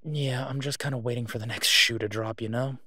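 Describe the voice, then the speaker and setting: A tired, protective werewolf played by a man talks in an intimate audio drama. His voice sits at a middle pitch and comes through dry and close. He speaks haltingly and reassuringly, devoted but on edge. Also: fairly even intonation, sharp tone, no echo. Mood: weary, reassuring. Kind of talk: intimate roleplay audio drama, one side of a phone call.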